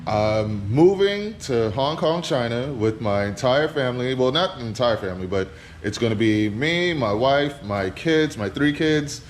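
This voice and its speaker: Man from Trinidad, car vlogger, casual chat to camera, middle pitch, faint background noise. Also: a little slow. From Trinidad, Caribbean. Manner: relaxed, chatty, talks straight to the camera. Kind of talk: casual travel vlog.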